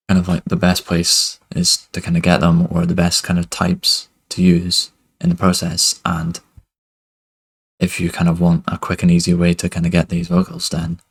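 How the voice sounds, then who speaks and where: A young man giving a relaxed music production tutorial at his desk, talking loosely with lots of fillers. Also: low voice, vocal fry, breathy edge. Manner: relaxed, many fillers like "kind of" and "basically". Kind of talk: casual screen-recorded tutorial.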